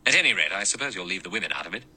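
A man voicing a brash younger man who talks quickly and impatiently, scornful and irritable. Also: sits low, expressive, pushed and bright, breathy edge, exaggerated voice, rough recording.

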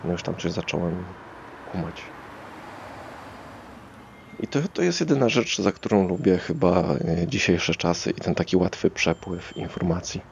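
A man's voice: deep voice, a little slow, creaky, long pauses, highs cut off, slight background noise. A man hosting a casual solo podcast, rambling hesitantly as he thinks aloud. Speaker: podcast host.

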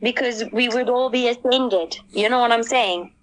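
A woman's voice: a little high, moderate pace, poor audio. A woman and mother speaking as a panelist in a casual podcast discussion, earnest and thoughtful, with many fillers.

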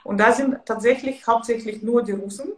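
A woman's voice: on the high side, moderate pace. Woman trainer in an echoey room. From Ukraine. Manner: searches for words, hesitant. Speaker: guest, Pilates and yoga trainer. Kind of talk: casual podcast conversation.

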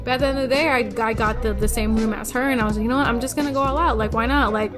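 A woman's voice: on the high side, steady tone, very fast. A young woman chatting casually as a podcast co-host, swearing freely and acting out her own past remarks. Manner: chatty, swears freely, quotes herself in asides. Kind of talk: casual chat. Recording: music playing behind the talk.

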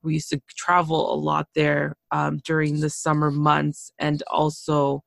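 A woman from Canada hosting a casual interview podcast, chatty and quick to exclaim. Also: sits low, completely flat delivery, gravelly, no echo. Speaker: podcast host.

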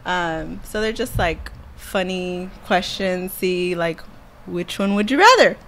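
A woman with a raspy voice co-hosting a casual podcast with her husband, laid-back and teasing. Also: fairly low pitch, lively intonation, slow pace, a bit bright. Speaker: podcast co-host. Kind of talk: casual chat.

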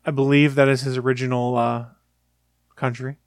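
A man's voice: medium pitch, level tone, moderate pace, dry recording, studio quality. A man co-hosting a casual gaming podcast, talking in long, rambling explanations. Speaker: podcast co-host. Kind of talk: casual chat.

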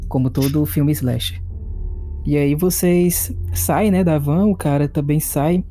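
A man's voice: on the high side, a little slow, dark tone, soft background music. A man with a Brazilian Portuguese accent, narrating a tabletop horror-comedy game as game master in casual, crude, joking talk.

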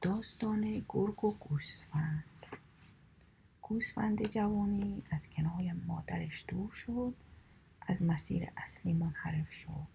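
A woman reading animal fables aloud in a soft, steady whisper, storytelling for relaxation. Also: medium pitch, vocal fry, a little hiss or hum, low-quality recording.

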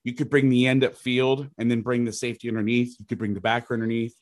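A middle-aged man with a loud voice, a football coach explaining schemes in loose, rambling chat. His pitch sits at an ordinary middle for a man. The sound is close and dry, with no room around it. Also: level tone, fast, dry recording. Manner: rambling, restarts sentences, occasional mild swearing. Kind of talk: casual podcast chat, explaining defensive schemes.

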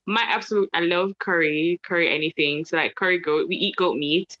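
A Jamaican woman in her thirties chatting casually as a guest about travel, animated and laughing, with lots of fillers and restarts. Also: fairly low pitch, fairly quick, lo-fi sound. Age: 30s. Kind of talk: casual podcast chat.

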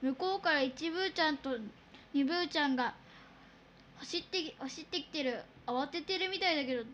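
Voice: sits high, fairly quick, fairly bright tone, muffled recording, slight background noise. A performer voicing a sensible, proud young pig boy, confident and matter-of-fact, in a children's radio drama. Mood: proud, pleased.

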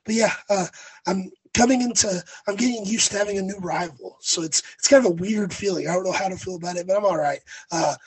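A young man co-hosting a sports podcast, talking casually with a hoarse, raspy voice after being sick, rambling and correcting himself. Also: high-pitched, fast talker, no room sound, poor audio. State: hoarse, raspy from recent illness. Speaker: podcast co-host.

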